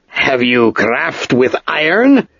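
A man voicing a stout, gruff ship's master who bellows orders over a storm, in a raised, slow, strained voice. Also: medium pitch, big pitch swings, a bit bright, dry recording, muffled recording, full character voice.

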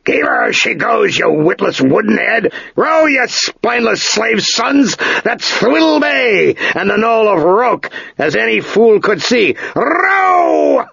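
A man voicing a stout, gruff ship's master who bellows orders over a storm, in a raised, slow, strained voice. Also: on the high side, animated, fairly bright tone, dry recording, highs cut off, voice pushed up, full character voice. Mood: furious.

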